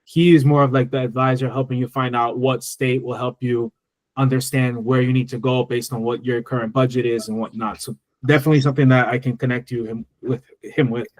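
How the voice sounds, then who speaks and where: A man's voice: mid-range voice, level tone, fairly quick, dry recording. A man giving tax advice in a webinar Q&A, talking loosely with many restarts. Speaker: tax strategist. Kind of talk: webinar Q&A answers. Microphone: video call.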